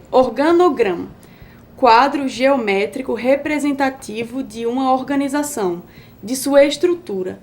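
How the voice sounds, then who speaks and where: A giggly vain fashion girl. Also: sits high. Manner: giggly, exaggerated reactions.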